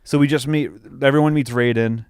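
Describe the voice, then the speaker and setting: A man's voice: medium pitch, fairly even intonation, fairly quick, a little mellow, clear, resonant tone, no room sound, clean studio sound. A young man co-hosting a podcast, chatting casually about films with slang and swearing. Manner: slangy, profane. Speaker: podcast co-host. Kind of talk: casual film review chat.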